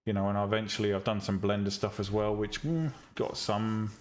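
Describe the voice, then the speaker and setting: Guy on a live stream, low monotone voice, blunt and rambling with lots of ums. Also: a little fast, slight background noise. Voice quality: calm, relaxing voice. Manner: blunt, opinionated, frequent ums and restarts. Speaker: streamer teaching 3D rendering and visual novel making. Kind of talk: casual live-stream chat with viewers.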